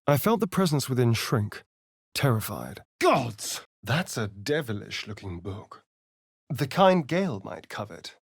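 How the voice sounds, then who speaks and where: Vain sardonic vampire rogue, british, game character, slow n dramatic, very close dry recording. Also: male voice, medium pitch, sing-song, vocal fry, husky, no echo, full character voice. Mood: exasperated, alarmed. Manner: theatrical, drawling, arch, swooping delivery. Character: sardonic, theatrical vampire spawn rogue, male, vain and peckish. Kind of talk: video game character voice lines.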